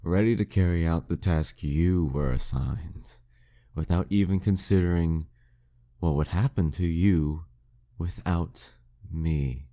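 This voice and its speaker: Man acting a very deep, slow, teasing demon king. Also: mellow. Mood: possessive, seductive. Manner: teasing, smug, slow deliberate delivery. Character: flirty, dominant, taunting demon king villain. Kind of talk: intimate scripted audio roleplay monologue.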